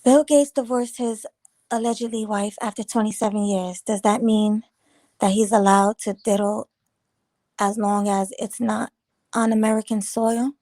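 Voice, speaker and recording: Woman, livestream host, casual rambling chat, a bit raspy, dry close sound. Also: mid-range voice, little pitch movement, a little slow, warm tone, no room sound. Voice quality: a little raspy this morning. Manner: chatty, rambling, teasing viewers. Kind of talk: casual live chat reading comments.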